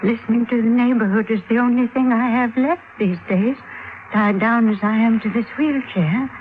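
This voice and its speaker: An actress playing a frail, fearful little old widow, speaking gravely and haltingly in old-time radio drama style. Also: female voice, a little high, steady tone, lightly acted. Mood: bitter, weary. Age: older. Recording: tinny, noisy old radio recording.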